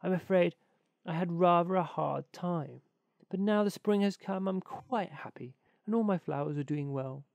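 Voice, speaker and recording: A trusting, good-natured little fellow from a storybook, played by a man, with a fairly high voice in a dry recording. He sounds humble and earnest, eager to please. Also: moderate pace, vocal fry, darkish tone, no echo, lo-fi sound. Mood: grateful. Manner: meek, earnest, grateful.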